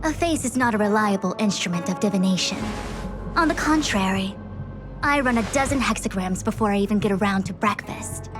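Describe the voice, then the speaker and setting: An actress playing a scholarly, precise diviner in a video game, speaking in a formal, didactic way. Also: female voice, mid-range voice, lively intonation, brisk, music in the background, close to an everyday voice. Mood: self-assured. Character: brisk, scholarly female diviner, self-assured and precise. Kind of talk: scripted video game dialogue.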